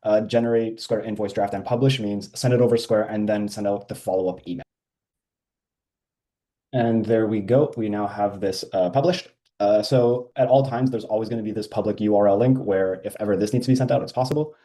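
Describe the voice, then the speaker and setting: A man giving an informal, step-by-step software walkthrough as a demo presenter. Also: on the low side, steady tone, fairly quick. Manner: explanatory, step by step.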